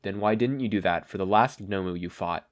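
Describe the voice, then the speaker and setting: A man's voice: low voice, fairly quick, lightly acted. A man voicing a composed teenage boy hero who is blunt, pointed and matter-of-fact.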